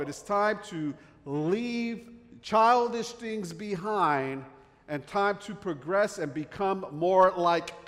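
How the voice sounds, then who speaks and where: Pastor with a North Jersey accent. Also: male voice, high-pitched, long reverb tail. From northern New Jersey. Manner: conversational with fillers and restarts. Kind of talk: sermon.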